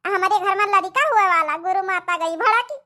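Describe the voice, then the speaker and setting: A woman's voice: very high voice, normal speed, bright, forceful tone. A woman voicing dramatic, exclamatory village in-laws in a cartoon comedy, with an Awadhi accent. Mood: conspiratorial. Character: scheming young daughters-in-law and fretting mother-in-law, village family.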